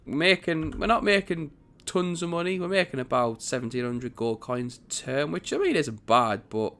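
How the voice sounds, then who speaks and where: A man hosting a strategy game commentary, chatty and rambling, with a croaky morning voice. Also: fairly high pitch, expressive, moderate pace, fairly bright tone. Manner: chatty, rambling, self-correcting. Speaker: gaming channel host.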